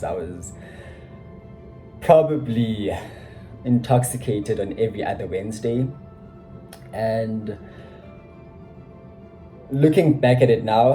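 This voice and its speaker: Young man, low mellow voice. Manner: reflective, self-deprecating, many "you know" asides. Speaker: vlogger. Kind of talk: casual personal storytelling.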